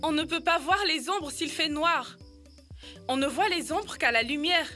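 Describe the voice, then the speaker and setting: A woman's voice: high voice, measured pace, sharp tone, soft background music. A woman playing an eager, curious young child in an educational children's cartoon dub. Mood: reasoning.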